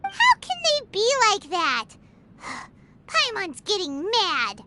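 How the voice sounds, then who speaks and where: A woman voicing a tiny, excitable female fairy-like companion in a game, chirpy and talking about herself in the third person, over soft game music. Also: very high-pitched, takes it slowly, very noisy, exaggerated voice. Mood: indignant. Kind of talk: game cutscene voice acting.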